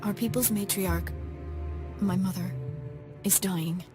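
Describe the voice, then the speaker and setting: An actress playing a dignified young woman leader who speaks gently and formally. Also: medium pitch, fairly even intonation, takes it slowly, natural voice. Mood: earnest. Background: game score music.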